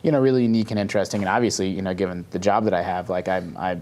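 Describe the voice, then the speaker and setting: A man's voice: deep voice, fairly even intonation, rapid-fire, a little mellow. A man talking casually and earnestly as a podcast panelist, explaining his opinions at length.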